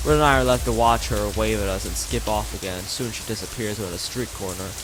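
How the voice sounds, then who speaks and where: Low-voiced man reading a game aloud. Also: background noise. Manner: halting, often stumbles and rereads lines. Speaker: streamer playing and reading a visual novel. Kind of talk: live game reading with casual chat.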